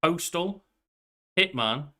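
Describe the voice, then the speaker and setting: A man's voice: a little high, animated, slow pace, sharp tone. A young man co-hosting a podcast, chatting casually from a room on a video call. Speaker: podcast co-host. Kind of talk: casual movie chat.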